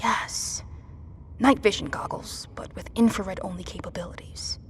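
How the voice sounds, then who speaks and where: A woman's voice: medium pitch, steady tone, normal speed, whispered, lightly acted. A woman playing a tense, protective survivor who gives firm, clipped instructions in an audio drama. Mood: hushed, careful. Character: tense, commanding woman protecting her companions in disaster.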